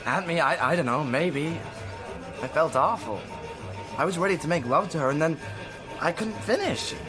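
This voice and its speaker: Man acting eager teen boy, lightly high voice, hesitant, lovesick, radio drama, prominent music underneath. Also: animated, moderate pace, lightly acted. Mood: embarrassed, confiding. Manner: hesitant, earnest. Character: naive, eager, lovesick sixteen-year-old American boy.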